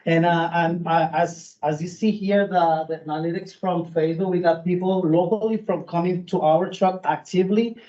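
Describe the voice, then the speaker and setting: A man with a strong Puerto Rican accent speaks at a town meeting, halting and earnest, full of restarts and fillers. His voice sits a little high and sounds soft and mellow. The recording is dull and muffled. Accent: Puerto Rican Spanish. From Puerto Rico. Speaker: food truck owner speaking at public meeting. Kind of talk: informal public comment. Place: public meeting room.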